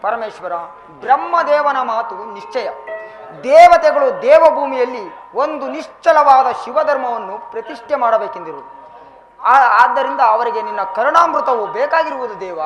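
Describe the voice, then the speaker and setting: A man's voice: very high-pitched, animated, moderate pace. A man playing a devout divine counsellor, making formal, respectful appeals in mythological stage drama. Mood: respectful, earnest. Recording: roomy echo with faint music.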